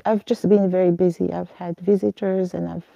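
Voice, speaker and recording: Gravelly-voiced woman host. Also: lowish voice, flat delivery, moderate pace, mellow, no echo, lo-fi sound. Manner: friendly, patient, helps with words. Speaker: host, Arabic teacher. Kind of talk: casual live chat.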